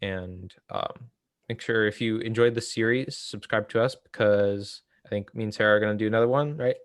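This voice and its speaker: A young man co-hosting a casual book discussion, thinking aloud in a halting, hedging way. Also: lowish voice, fairly even intonation, dry recording, clean studio sound. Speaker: co-host of a book read-along series. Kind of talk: casual discussion of political theory.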